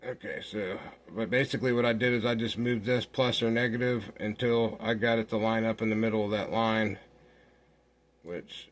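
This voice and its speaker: A man giving an informal software walkthrough, thinking aloud in a halting way as he works. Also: fairly low pitch, steady tone. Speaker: software tutorial host. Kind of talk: informal screen-recorded walkthrough.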